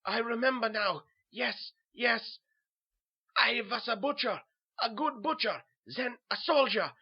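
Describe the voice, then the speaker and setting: A man's voice: extremely high pitch, pitched above the performer's own voice, full character voice. Man acting a haunted German ex-soldier, dull recording. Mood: horrified, anguished. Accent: put-on German. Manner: halting, repetitive, brooding first-person delivery. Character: dazed, haunted male German ex-soldier and butcher's helper. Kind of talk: dramatic horror story reading.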